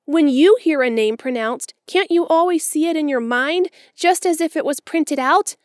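Storybook orphan girl, very high and emphatic, gushing and tearful; woman reader puts her on. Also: moderate pace, dry recording, clean studio sound, natural voice. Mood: eager pleading. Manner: breathless, florid, tearful pleading. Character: eager, dramatic orphan girl, about eleven. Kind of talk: audiobook character voice.

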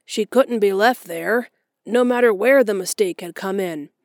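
Gentle elderly farmer, slow, apologetic delivery; woman reader voices him. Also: mid-range voice, no echo, studio quality, natural voice.

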